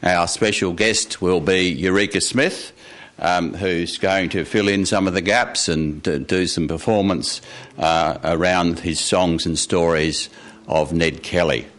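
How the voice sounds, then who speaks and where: A man hosting a live event, giving informal announcements in a halting, improvised way. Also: low-pitched, steady tone, fairly bright tone. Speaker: festival event host. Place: radio studio before a live audience.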